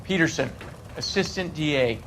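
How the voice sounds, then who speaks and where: An actor playing an ambitious, pushy assistant district attorney in a noir video game, brash and clipped. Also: male voice, a little high, lively intonation, normal speed, fairly bright tone, slight background noise.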